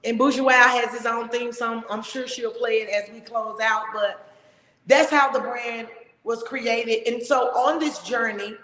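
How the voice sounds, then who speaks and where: A woman hosting a casual talk show, with a big, loud voice and an emphatic, expressive way of talking. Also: fairly high pitch, a little slow. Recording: echoey room with long reverb.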